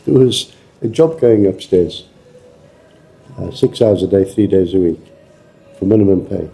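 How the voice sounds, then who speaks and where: A man's voice: deep voice, a little slow, creaky, dark tone, faint music behind. An older man from Zimbabwe, a shop owner talking philosophically in an interview and repeating himself as he thinks. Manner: philosophical, asks rhetorical questions, stammering repeats. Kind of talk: casual interview.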